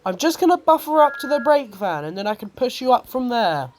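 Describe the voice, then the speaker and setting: A narrator voicing a confident, helpful male tank engine in a children's story, brisk and encouraging. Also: medium pitch, very animated, a little hiss or hum, pitched above the performer's own voice, natural voice.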